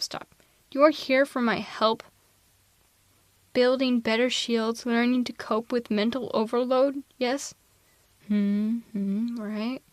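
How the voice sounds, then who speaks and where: A woman playing a haughty, sardonic telepathic villain in an ASMR roleplay audio drama, theatrical and quick to justify herself. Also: fairly high pitch, no echo. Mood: incredulous, sardonic. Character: female telepathic villain, haughty, misanthropic, secretly soft-hearted. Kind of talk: ASMR roleplay audio drama, close one-sided dialogue.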